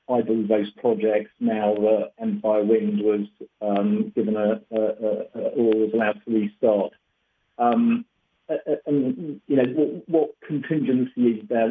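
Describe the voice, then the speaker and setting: A man asking questions as a financial analyst on an earnings call, in hesitant, stop-start phrases. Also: mid-range voice. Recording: tinny phone line.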